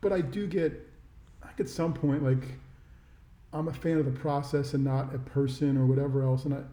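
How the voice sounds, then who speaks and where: A man's voice: medium pitch, fairly even intonation, normal speed, warm tone. A middle-aged American man co-hosting a podcast, chatting casually about sports in a wry, self-deprecating, rambling way, with a roomy echo. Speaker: podcast co-host. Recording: roomy, echoey sound.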